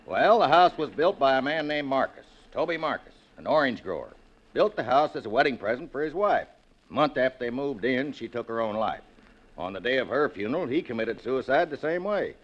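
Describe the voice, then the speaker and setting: A man's voice: mid-range voice, big pitch swings, brisk. An actor playing a plain-spoken, folksy male real estate agent, in 1940s radio acting style. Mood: matter-of-fact. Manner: folksy, matter-of-fact storyteller. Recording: dull old 1940s radio recording.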